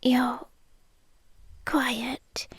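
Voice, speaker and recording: A British woman voicing a shy, smitten monster under the bed in soft, breathy, intimate ASMR roleplay, hesitant and dryly awkward, often dropping into whispers. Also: high voice, level tone, extremely slow, no echo, heavily acted. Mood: tender, confiding. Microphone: close microphone, dry.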